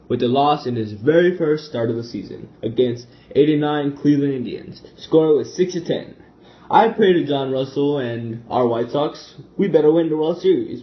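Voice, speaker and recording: A man's voice: mid-range voice, natural voice. A man playing a formal, earnest 1920s radio speaker in a scripted broadcast, on a dull lo-fi recording. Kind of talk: scripted amateur radio broadcast role-play.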